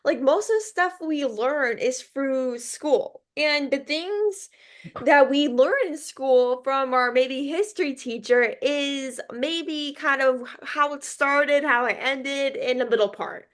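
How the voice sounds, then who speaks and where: A young woman hosts an interview in a relaxed, chatty way. Her sentences ramble and are full of "like" as she works toward her questions. Her voice sits slightly high. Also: slow. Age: young adult. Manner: casual, heavy use of "like", rambling. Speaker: podcast host. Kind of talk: casual interview talk.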